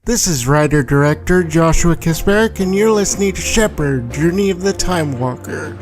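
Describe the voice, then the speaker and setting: A man's voice: on the high side, lively intonation, moderate pace, over music. A man, the show's writer-director, giving a scripted announcement for an audio drama.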